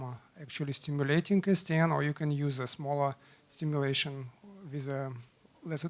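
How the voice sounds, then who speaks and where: Higher-voiced man, hesitant on a panel. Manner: hesitant, with many fillers. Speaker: panelist, researcher. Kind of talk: self-introduction on a panel.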